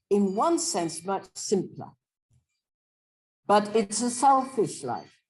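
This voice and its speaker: A woman professor giving a reflective answer, thoughtful and deliberate, with pauses between phrases. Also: mid-range voice, low-quality recording. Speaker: professor, invited speaker.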